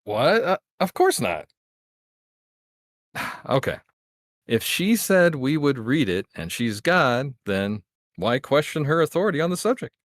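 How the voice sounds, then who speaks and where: A man's voice: mid-range voice, sing-song, a little slow, pauses often, dry recording, clean studio sound. A man playing an impatient, sarcastic secret agent, blunt and exasperated, in a comedy radio play read.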